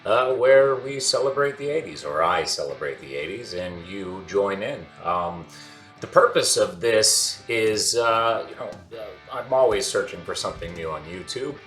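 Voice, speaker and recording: A middle-aged man talks casually to the camera, rambling with many "uh"s and restarts. His slightly low voice has a bright, forceful edge. The room is echoey, with faint music playing behind him. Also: lively intonation, moderate pace. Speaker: channel host. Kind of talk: casual vlog monologue. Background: faint background music.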